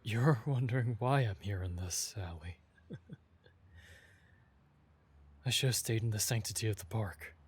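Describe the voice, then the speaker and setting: A man playing a weakened, proud vampire with formal, solemn phrasing and a breathy voice that dips into whispers, in a dramatic ASMR audio roleplay. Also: lowish voice, unhurried, long pauses. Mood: weary, bitter.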